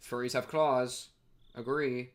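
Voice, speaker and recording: A man's voice: medium pitch, level tone, takes it slowly. A young man giving casual, slangy playthrough commentary on a visual novel and reading text aloud. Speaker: streamer playing a visual novel.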